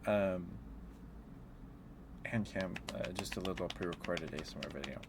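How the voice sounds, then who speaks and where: A man chatting casually on an ASMR livestream as the host, in a hesitant, halting, chuckly and apologetic way. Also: deep voice, very noisy. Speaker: live streamer hosting an ASMR stream.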